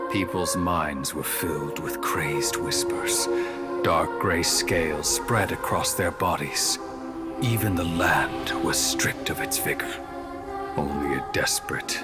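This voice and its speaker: A man voicing a solemn ancient priest who tells of a past disaster in a grave, measured way in a game cutscene. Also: very deep voice. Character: solemn ancient male priest recording a lament. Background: loud music.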